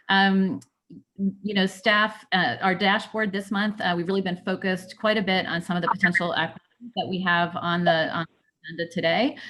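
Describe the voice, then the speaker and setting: A woman with a somewhat low voice reads through a board meeting report on a video call. She pauses often on fillers and restarts her sentences as she goes. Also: a bit bright. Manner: halting, with frequent fillers and restarts. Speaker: executive director giving a report.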